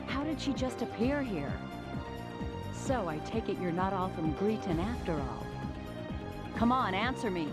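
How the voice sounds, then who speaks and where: An actress voices a fierce noblewoman soldier for a fantasy video game. She is stern, direct and loyal to the crown, in a mid-range female voice. Loud music plays over her. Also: mid-range voice, lively intonation, unhurried, long pauses, close to an everyday voice. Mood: demanding. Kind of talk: video game cutscene acting.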